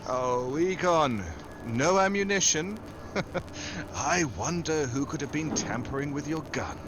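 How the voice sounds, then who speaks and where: A man's voice: fairly high pitch, expressive, unhurried, noisy background. A man playing an unflappable, wisecracking American secret agent with an American accent, wry and nonchalant, in 1940s radio drama style. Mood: mocking, cool.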